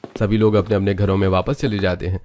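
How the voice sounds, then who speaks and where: A man with a fairly low voice reads scripted story narration. There is faint noise behind his storytelling. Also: flat delivery, fast talker, darkish tone.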